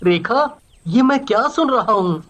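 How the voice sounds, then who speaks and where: Casting: man as caring young husband for cartoon family tale, earnest, gently reproachful. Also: very high voice, expressive, unhurried, full character voice. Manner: earnest, chiding.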